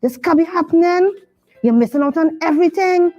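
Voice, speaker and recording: Puppet-show schoolboy, played by a woman, high and earnest, dull lo-fi sound, faint music. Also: brisk, a little mellow. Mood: pleading. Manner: earnest, pleading. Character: young schoolboy puppet, caring friend.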